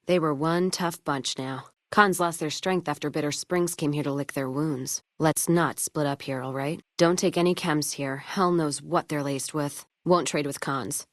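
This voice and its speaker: Actress as blunt wasteland woman, low voice, wry, wary, hard-drinking, folksy clipped delivery, dry close studio sound, video game companion. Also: steady tone, normal speed, hardly any pauses, no echo, natural voice. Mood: wary, disdainful.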